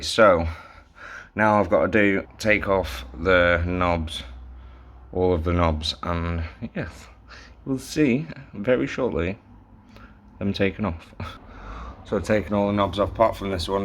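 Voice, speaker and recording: Low-pitched man, casual repair host. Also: a bit bright. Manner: informal, halting, thinking aloud while working. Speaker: host of a tape deck repair video. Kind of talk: casual hands-on repair narration.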